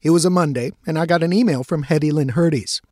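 A man's voice: on the high side, fast, a little mellow, no echo, clean studio sound. Wry Mexican-born man narrating radio. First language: Spanish. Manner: wry, self-mocking. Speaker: radio reporter. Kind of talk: scripted radio feature narration.

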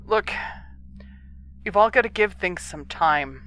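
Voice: medium pitch, animated, slow, a bit bright, a little hiss or hum. A performer playing an earnest, conciliatory moonbase crew member pleading for patience in a sci-fi audio drama.

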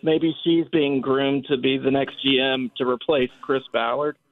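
A man's voice: mid-range voice, fairly even intonation, a little slow, creaky, no room sound. Hesitant male caller on a phone line. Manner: hesitant, polite. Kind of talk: call-in radio talk. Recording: dry tinny phone line.

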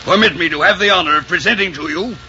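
A dull vintage radio recording carries a devoted royal servant. The voice is forceful and gravelly, a little high, with formal, deferential and declamatory phrasing. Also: male voice, fast. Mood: grand, proclaiming. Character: loyal, fussy male servant to a French prince. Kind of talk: 1940s radio drama acting. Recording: muffled 1944 radio broadcast with music.

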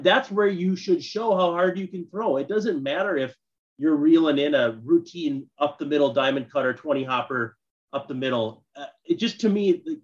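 A man co-hosting a podcast in casual sports chat, recorded with dull, muffled sound. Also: fairly high pitch. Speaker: podcast co-host.

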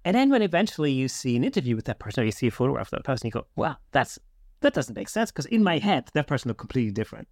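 A man's voice: medium pitch, big pitch swings, rapid-fire, dry recording, clean studio sound. A man speaking as a podcast host, chatting casually with a guest in an interview.

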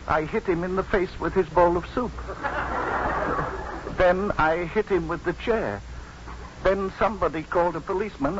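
Actor as halting, deadpan simple ex-convict. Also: male voice, on the high side, natural voice. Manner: halting, plain-spoken, deadpan. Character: slow, simple, mild-mannered male ex-convict, earnest storyteller. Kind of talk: 1950s radio drama acting. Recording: muffled, noisy 1950s radio broadcast.

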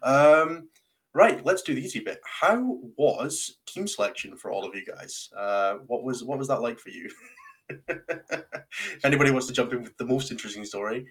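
A man's voice: mid-range voice, expressive, normal speed, fairly bright tone. A man casually hosting a round table, with a wry, teasing, self-deprecating way of talking. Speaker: podcast host and team captain.

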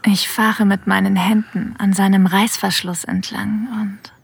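Woman playing a breathy, whispering, sensual female boss. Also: mid-range voice, little pitch movement. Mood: aroused, intimate. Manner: slow, intimate, deliberate. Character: female boss having a secret affair, sensual and confident. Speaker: narrator and lead in an erotic audio drama. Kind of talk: ASMR-like scripted first-person narration. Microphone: close, dry studio mic.

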